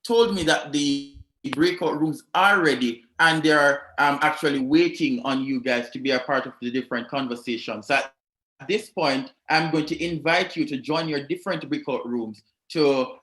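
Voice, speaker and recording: A man hosts an online youth conference, greeting each speaker in a friendly way and walking everyone through the logistics. His voice sits a little high. The call audio has a roomy echo. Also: moderate pace, bright, forceful tone. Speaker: conference host. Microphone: video call.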